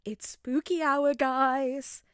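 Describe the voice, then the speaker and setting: A woman voicing an excitable, cheeky teenage boy vlogger who speaks in breathless, stammering exclamations. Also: sits high, very slow, dry recording, clean studio sound, pitched above the performer's own voice. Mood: excited.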